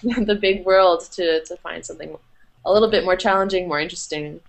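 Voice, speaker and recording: Low-voiced young woman, chatty guest. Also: quick pace, vocal fry, rough recording. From Canada. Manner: chatty, upbeat, many fillers and laughs. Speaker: content marketing manager, interview guest. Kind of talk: casual podcast interview.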